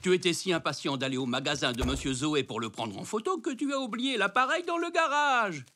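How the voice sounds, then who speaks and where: A man's voice: high-pitched, expressive, fairly quick, bright, forceful tone. A man voicing a jovial, teasing elderly grandfather zebra in a children's cartoon.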